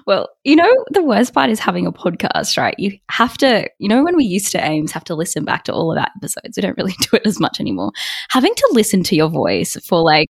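A woman co-hosting a podcast, with a husky, gravelly voice. Her pitch swoops all over as she jokes and laughs. The sound is close and dry. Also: sing-song, a little fast, no room sound. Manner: bubbly, playful, laughs often. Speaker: podcast co-host, psychologist. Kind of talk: casual chat.

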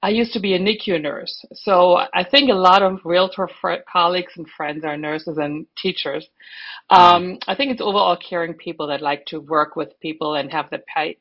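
A woman's voice: fairly low pitch, lo-fi sound. A middle-aged woman with a German accent, a real estate broker chatting as a podcast guest, emphatic and full of fillers. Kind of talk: casual interview chat.

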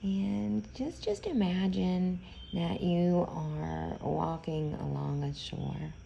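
Slow, mellow woman guiding a meditation. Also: fairly low pitch, vocal fry, highs cut off, slight background noise. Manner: gentle, unhurried, pausing often between phrases. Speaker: meditation guide. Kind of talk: guided meditation, soothing slow instruction.

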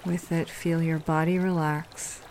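A woman leading a guided meditation, giving soothing, unhurried instructions with pauses. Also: sits low, little pitch movement, a little mellow, slight background noise.

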